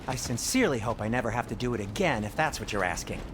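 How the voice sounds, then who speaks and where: A man's voice: medium pitch, lively intonation, quick pace, natural voice. A male actor playing a fussy, anxious fantasy shopkeeper in a video game, prim, wordy and fretful. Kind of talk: video game dialogue acting. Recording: noisy game ambience behind voice.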